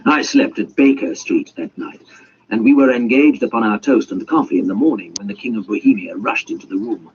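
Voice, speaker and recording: A man narrating a classic story as an audiobook reader, in an expressive scripted style. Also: on the low side, fairly quick. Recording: dull audio with faint hiss.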